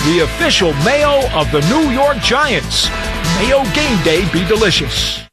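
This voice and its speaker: Very high, animated male ad announcer. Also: unhurried. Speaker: commercial announcer. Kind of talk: scripted radio ad read. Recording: loud music under the voice. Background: loud music bed.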